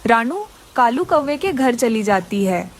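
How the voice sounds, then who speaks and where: A woman narrates a children's story in a high voice with lively movement in pitch. Her delivery is scripted storytelling for animation. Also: unhurried.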